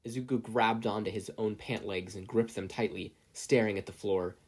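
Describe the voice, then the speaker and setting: A man's voice: on the low side, steady tone, normal speed, darkish tone, husky. A man reading a story aloud as scripted audiobook narration. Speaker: podfic reader, narrator.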